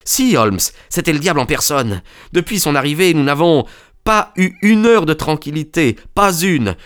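A man voicing a distraught young gentleman in an audiobook story, agitated and emphatic. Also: fairly high pitch, lively intonation, normal speed, clear, resonant tone, pitched above the performer's own voice. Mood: agitated, bitter.

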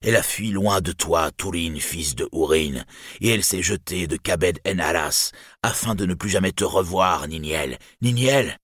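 A man performs a defiant healer weighed down by anguish. He sounds husky and grave in a dry recording, stressing his words bitterly in dramatic storybook fashion. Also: low voice, moderate pace, fairly bright tone, no room sound. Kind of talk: dramatic fantasy storybook reading.

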